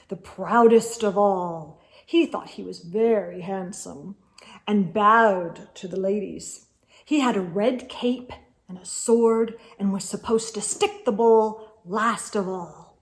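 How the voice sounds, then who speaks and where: A woman's voice: mid-range voice, slow pace. A woman reading a children's storybook aloud as host, expressively and with emphasis, speaking directly to young listeners.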